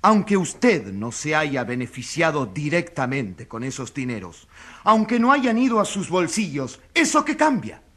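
An actor playing an indignant crusading newspaper editor, declaiming emphatically as the accuser in a courtroom radio drama. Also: male voice, on the high side, big pitch swings, unhurried, bright, forceful tone, exaggerated voice. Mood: insistent, heated. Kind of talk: theatrical radio drama oratory.

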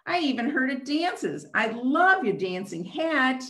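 A woman voicing a friendly, polite giant storybook cyclops who gushes with excitement, in an echoey room. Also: high-pitched, measured pace, slight background noise, pitched above the performer's own voice, full character voice. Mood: gushing, delighted. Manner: gushing, polite.

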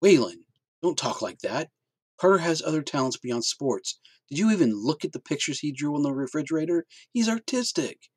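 A man's voice: fairly high pitch, big pitch swings, moderate pace, slightly rough, no echo, voice pushed up. A man reading aloud and voicing a defiant, protective middle-aged mother who speaks indignantly and firmly. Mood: pleading. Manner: indignant and firm, raised voice.

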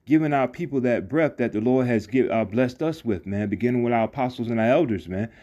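A man teaching scripture in a casual, rambling way, full of fillers and restarts, saying "man" after many phrases and reading verses slowly. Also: medium pitch, lively intonation, dark tone, dull audio. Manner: reads verses slowly and deliberately. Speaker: street preacher. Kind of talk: informal scripture teaching over a screen recording. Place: not seen, voice-over on a phone screen recording.

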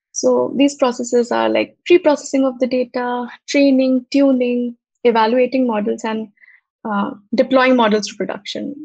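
A young woman presenting a technical talk over a video call, explaining step by step with frequent pauses and fillers. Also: a little high, vocal fry, a little mellow, dry recording. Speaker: software engineer presenting. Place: home room, on video call. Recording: slightly muffled, dry video call audio.

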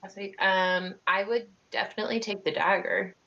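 A woman's voice: mid-range voice, steady tone, normal speed, bright, forceful tone. A woman chatting casually as a player in an online tabletop game. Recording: dull lo-fi call audio.

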